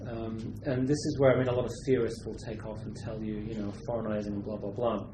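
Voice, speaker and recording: A man from Britain, a literary translator, answering questions at a university seminar in a thoughtful, halting way with many restarts. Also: lowish voice, little pitch movement, roomy sound, noisy background.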